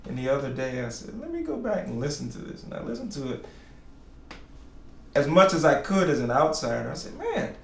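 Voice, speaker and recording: A man with a gravelly voice leads a relaxed interview, quick to laugh and agree. He sounds set back from the mic in a roomy space, with a faint hiss behind him. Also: on the high side, recorded from a distance. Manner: laughs often, affirming interjections. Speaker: interviewer and band member. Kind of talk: casual interview chat.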